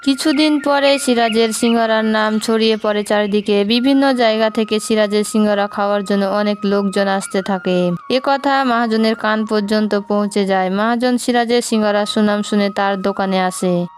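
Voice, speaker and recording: A woman's voice: mid-range voice, steady tone, normal speed, faint music behind. A woman narrating a scripted moral story for a cartoon.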